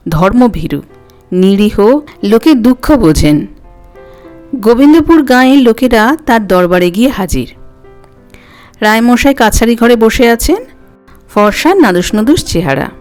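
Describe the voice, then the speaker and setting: A woman's voice: a little high, a little mellow. A woman reading a story aloud as a scripted audiobook narrator. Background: music.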